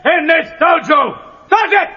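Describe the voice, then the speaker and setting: A man's voice: very high voice, expressive, slow pace, shouting, crowd noise, exaggerated voice. An actor playing a fierce, commanding ex-army karate sensei who barks at his class in a children's audio drama. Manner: barking, commanding. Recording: dull cassette, long hall reverb. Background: prominent music.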